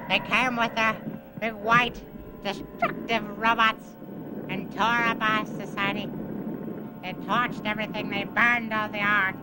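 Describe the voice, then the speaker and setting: A performer voicing a frightened, despairing survivor in a homemade audio drama, halting and stammering, over loud tape hiss. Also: mid-range voice, little pitch movement, lightly acted. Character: despairing survivor left to die.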